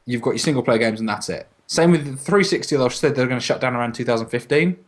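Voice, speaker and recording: A man's voice: medium pitch, quick pace. A man chatting casually about video games as a podcast panellist, swearing freely and acting out imagined quotes.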